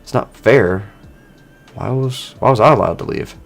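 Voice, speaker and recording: Amnesiac ex-assassin woman, played by a man, wistful and hesitant, lowish pitch, faint music beneath. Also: normal speed, creaky. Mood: wistful, grieving. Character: wistful, amnesiac former female assassin, longing for lost friend. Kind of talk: character dialogue in online horror fiction.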